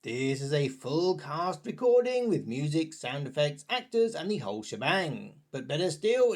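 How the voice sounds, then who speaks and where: A man presenting a scripted review in a chatty, tongue-in-cheek manner with playful asides. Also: fairly high pitch.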